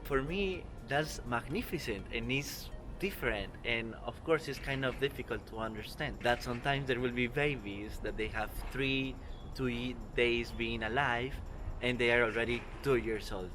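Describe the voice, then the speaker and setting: 20s guy from costa rica, earnest careful english, clear music bed behind. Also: medium pitch, moderate pace. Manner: earnest, careful non-native English with small grammar slips. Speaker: vlogger. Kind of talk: explanatory travel vlog. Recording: music playing underneath.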